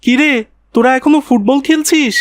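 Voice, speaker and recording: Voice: high-pitched, normal speed, no room sound, close to an everyday voice. A performer voicing a polite, earnest young village boy in a cartoon. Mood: friendly coaxing. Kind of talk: cartoon dialogue.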